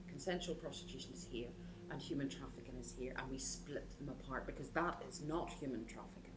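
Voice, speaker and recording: Northern Irish woman, low register, emphatic interview delivery, roomy echo. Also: background noise. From Northern Ireland. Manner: earnest, emphatic, repeats for stress. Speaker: support worker. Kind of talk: documentary interview.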